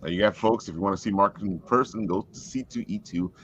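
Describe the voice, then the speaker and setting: A man's voice: deep voice, a little fast, husky. A man hosting a live stream with upbeat, jokey banter in a casual style, on dull audio. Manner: upbeat, jokey, uses catchphrases.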